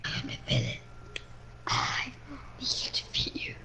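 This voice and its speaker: A woman voicing a vengeful villain from the future in a breathy, rasping whisper for an amateur game roleplay. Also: a little slow, natural voice. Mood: menacing.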